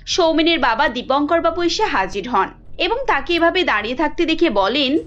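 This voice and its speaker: A woman's voice: high voice, animated, normal speed, fairly bright tone. A woman reading scripted narration for a cartoon story, with dull lo-fi sound. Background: music.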